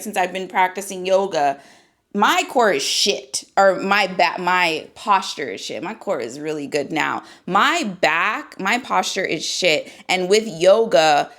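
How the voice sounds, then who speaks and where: A woman's voice: medium pitch, a bit bright. A woman in her thirties, a yoga teacher, talking casually and candidly to camera from a seat indoors, with loose phrasing and mild swearing. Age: 30s. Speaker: yoga teacher and fitness vlogger. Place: seated indoors.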